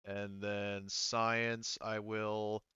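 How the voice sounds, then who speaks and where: A man casually running a tabletop roleplaying session as game master, halting and thinking aloud as he goes. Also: on the low side, level tone, pushed and bright, clear, resonant tone, no room sound, highs cut off.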